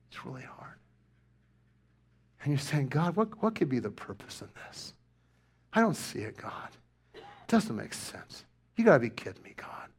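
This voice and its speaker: A man preaching a sermon, moving from loud, emphatic lines to hushed, near-whispered ones. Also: medium pitch. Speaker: pastor.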